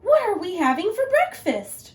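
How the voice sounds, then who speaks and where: Feisty old storybook granny, acted by a woman, shrill high pitch, excitable, swooping calls. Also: moderate pace, roomy sound, recorded from a distance. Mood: sweet, eager. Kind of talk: children's storybook character voice.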